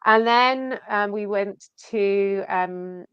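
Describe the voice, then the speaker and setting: A woman guest on a talk show, speaking earnestly and haltingly, with many fillers. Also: medium pitch, clear, resonant tone, dry recording, highs cut off. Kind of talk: casual talk show chat.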